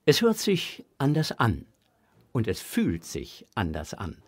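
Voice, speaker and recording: Male narrator for a children's audio drama: unhurried, very lively pitch. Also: husky, no echo, studio quality.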